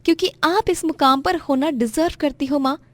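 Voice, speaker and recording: A woman's voice: sits high, moderate pace, no echo, pitched above the performer's own voice, lightly acted. A woman voicing a fiery, protective young daughter, emphatic and spirited, in a moral story. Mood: eager, encouraging. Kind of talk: dramatised Hindi moral story.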